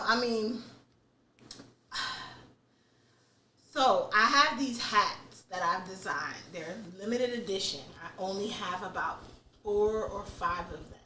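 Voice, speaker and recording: A woman's voice: mid-range voice, pushed and bright, recorded from a distance, slight background noise. Young woman podcast host, candid and unfiltered, talking a bit back from the mic in an echoey room. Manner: candid, swears freely, thinks aloud. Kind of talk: casual chat.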